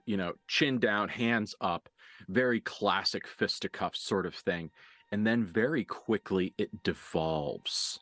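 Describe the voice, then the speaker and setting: A man's voice: lowish voice, a bit bright, no echo. A man working as game master, narrating a tabletop horror game in a vivid, improvised, dramatic storytelling manner.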